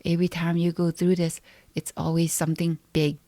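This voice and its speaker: A woman voicing the lines of a calm male friend who gently questions her. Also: fairly low pitch, fairly quick, dry recording, natural voice.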